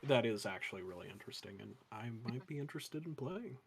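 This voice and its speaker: Man, monotone delivery, mid pitch, casual chat, dungeon master. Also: fast, gravelly, noisy background.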